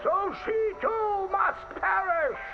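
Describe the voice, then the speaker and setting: A man's voice: very high-pitched, takes it slowly, gravelly, muffled recording, full character voice. A man acting a fanatical villager in a radio ghost tale, condemning and melodramatic. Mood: accusing. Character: accusing, fanatical villager in witch-burning tale. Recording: band-limited old radio sound, loud music behind.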